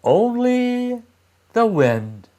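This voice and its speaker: A man's voice: very high voice, sing-song, drawn-out words, mellow, pitched above the performer's own voice, exaggerated voice. A man voicing innocent fairy-tale children who answer in a repetitive singsong.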